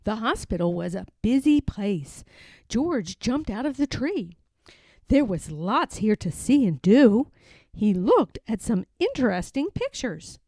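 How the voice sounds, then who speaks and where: A woman reading a children's picture book aloud in an expressive, sing-song way. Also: a little high, measured pace, no room sound. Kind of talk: storybook read-aloud.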